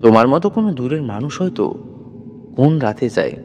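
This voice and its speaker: Casting: male reader as kindly old village widow, sorrowful and grave, lively intonation, ghost-story setting, long reverb with background noise. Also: mid-range voice, a little fast, close to an everyday voice. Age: older.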